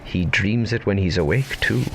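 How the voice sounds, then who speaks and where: An actor playing an imaginary narrator, a whimsical storyteller who addresses the listener with dramatic, deliberate phrasing in an audio drama. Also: male voice, on the low side, noisy background, lightly acted. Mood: whimsical, knowing.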